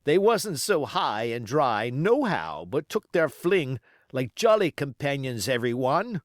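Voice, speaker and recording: A man voicing a rough, grumbling, bloodthirsty pirate coxswain in a storybook, with emphatic delivery and rough sailor grammar. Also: mid-range voice, sing-song, measured pace, dry recording, clean studio sound.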